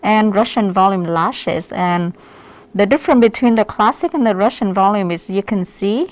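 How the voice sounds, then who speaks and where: A woman's voice: medium pitch, normal speed. Vietnamese-accented woman explaining, somewhat hesitant. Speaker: lash artist giving a demonstration. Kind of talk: casual explanation on a live stream.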